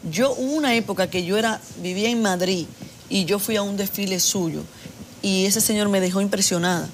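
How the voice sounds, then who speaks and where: A woman's voice: medium pitch, fairly quick, slight background noise. This is a middle-aged woman, speaking as a guest in a television studio. She talks earnestly, restarting and repeating herself as she works through her points. A faint studio ambience sits underneath her lapel-mic sound. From Dominican Republic. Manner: earnest, repetitive, restarts often. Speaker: fashion designer guest. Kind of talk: TV studio interview. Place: TV studio set.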